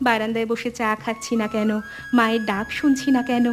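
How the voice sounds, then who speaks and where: Woman as a gentle, remorseful elder sister. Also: on the high side, flat delivery, fairly quick, natural voice. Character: warm, remorseful elder sister living in the city. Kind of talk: animated family drama dialogue.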